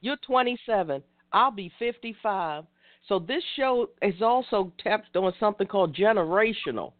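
A woman's voice: mid-range voice, animated, normal speed, no room sound. Preachy woman in her fifties on a phone line. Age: 50s. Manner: preachy, addresses listeners directly. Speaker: radio show host. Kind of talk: call-in talk show commentary.

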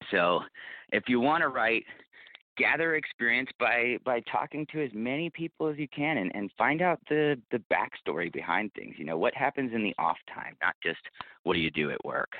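A man's voice: mid-range voice, lively intonation, moderate pace, no room sound. A man being interviewed as a podcast producer, talking conversationally and explaining things through anecdotes. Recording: phone-like narrow-band connection, dry.

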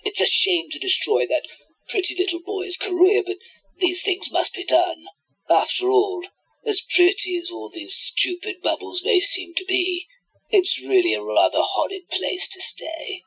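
A man's voice: on the low side, animated, measured pace, pushed and bright, slightly rough, dull audio, heavily acted. A man playing a smug, scheming radio-play villain who gloats theatrically and plots revenge.

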